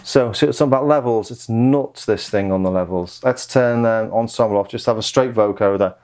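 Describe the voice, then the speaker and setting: A man's voice: lowish voice, a little fast, a little mellow. A man giving a casual hands-on music gear demo, thinking aloud in an offhand way. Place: home music studio.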